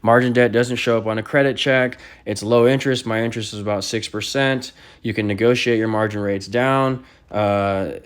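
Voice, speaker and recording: A middle-aged man hosting a personal finance video, explaining casually and often checking in with a questioning tag. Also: medium pitch. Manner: repetitive, seeks agreement with frequent "okay?" and "right?". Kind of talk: casual explanatory monologue over screen recording.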